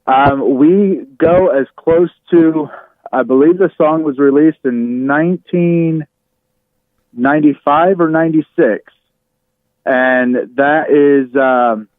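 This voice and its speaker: A man speaking over a narrow telephone line as a radio guest, chatting casually and haltingly as he thinks aloud. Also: fairly high pitch, no echo. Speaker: radio host, interview guest. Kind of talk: casual chat on a phone interview. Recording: tinny narrow phone line.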